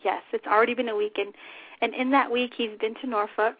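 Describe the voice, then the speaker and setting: Scratchy, higher-voiced woman on a tinny line. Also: fairly even intonation, brisk, slightly rough. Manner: chatty, informal. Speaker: talk show host. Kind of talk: unscripted casual radio chat. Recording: tinny phone-line internet radio audio.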